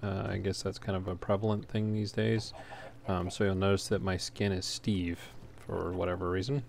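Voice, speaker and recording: A man's voice: low voice, flat delivery, moderate pace, a little mellow. A man hosting a gaming video with casual, unscripted walkthrough commentary, speaking a little hesitantly. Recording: noisy home recording, clear background noise.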